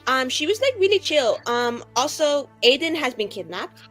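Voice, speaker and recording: A woman's voice: sits high, big pitch swings, moderate pace, fairly bright tone, full character voice, rough recording. Woman playing a breezy, rambling young adventurer, music behind. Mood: breezy, chatty. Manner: rambling, heavy use of "like", breezy. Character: bubbly, vain, scatterbrained young woman adventurer. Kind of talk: in-character tabletop roleplay.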